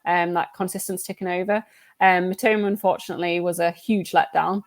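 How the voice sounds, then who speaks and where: Low-voiced woman, dry close sound. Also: fairly quick, no echo. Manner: relaxed, self-deprecating, many ums. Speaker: guest analyst. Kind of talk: casual chat.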